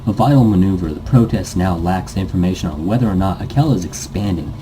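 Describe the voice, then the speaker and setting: A man's voice: deep voice, expressive, a little fast, warm tone, a little hiss or hum. A man reading visual novel narration aloud dramatically, stumbling now and then and rereading phrases. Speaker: reader, host of a let's read series.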